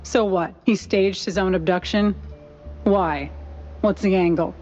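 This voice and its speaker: A sharp newspaperwoman from a noir cartoon game, acted by a woman, with a medium-pitched voice. She is skeptical and sardonic, always probing for the angle. The audio is a little dull, with music underneath. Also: fairly even intonation, unhurried, lightly acted. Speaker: journalist. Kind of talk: 1930s noir game acting.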